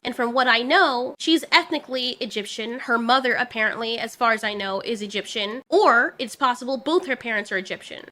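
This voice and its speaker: Woman commentator, high bright voice, firm and indignant. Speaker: commentary channel host. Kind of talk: opinion commentary monologue.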